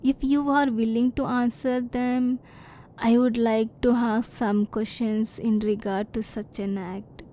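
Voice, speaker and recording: A woman voicing a curious, reserved female alien in an ASMR roleplay, speaking softly in formal, stilted phrases, at times close to a whisper. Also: fairly high pitch, level tone, slow, a little hiss or hum. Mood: curious, composed. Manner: soft-spoken, sometimes near whisper.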